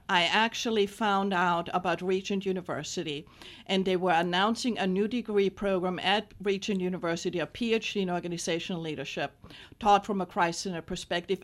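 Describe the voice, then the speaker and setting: A woman's voice: mid-range voice, fairly bright tone. A woman from Austria with an Austrian accent, a business school dean telling her story in a radio studio interview, earnest, with small restarts.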